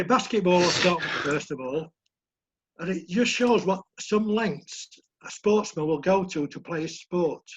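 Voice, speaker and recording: Croaky, husky man, high-pitched, reads sports news with dry jokes over a muffled call. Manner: jokey, reads news aloud. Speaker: group member reading sports news. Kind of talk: casual chat and reading news items. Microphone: video call. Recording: muffled video call audio.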